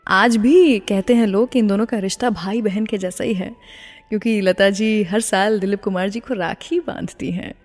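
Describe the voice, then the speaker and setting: A woman presents a music radio show in an Indian accent, with a mid-pitched voice. She chats through anecdotes, slipping English phrases into her Hindi. A long reverb trails her voice. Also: fairly even intonation, moderate pace.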